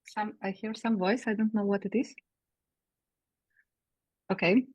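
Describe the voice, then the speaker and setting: Woman, moderator on a video call. Friendly, halting delivery; close, dry sound. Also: medium pitch, steady tone, a little mellow, no echo, muffled recording. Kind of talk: moderating an online Q&A.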